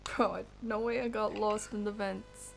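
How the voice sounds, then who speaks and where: A woman live-streaming a horror video game, chatting casually with viewers in an excitable, slangy, exclaiming way. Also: mid-range voice, measured pace, vocal fry, slight background noise. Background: game music.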